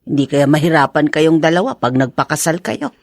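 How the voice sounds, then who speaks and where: An earnest middle-aged mother in a melodrama. Her voice is deep for a woman, with lively rises and falls and a questioning, motherly manner. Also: a little fast, no echo, studio quality. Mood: thoughtful, concerned. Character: concerned, practical older mother advising her daughter. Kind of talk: melodramatic radio-style drama acting.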